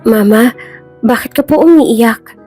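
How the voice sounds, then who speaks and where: A woman's voice: on the high side, takes it slowly, mellow, breathy edge, pitched above the performer's own voice. Woman as meek, polite little Filipino child. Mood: worried. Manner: meek, respectful. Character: innocent, polite eight-year-old sick child. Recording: music bed behind the voice.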